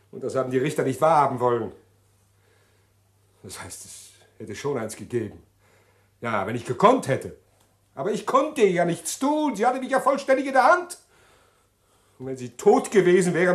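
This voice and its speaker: An actor playing a condemned male prisoner in a 1960s radio drama, agitated and stammering as he pleads to confess. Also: a little high. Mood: brooding.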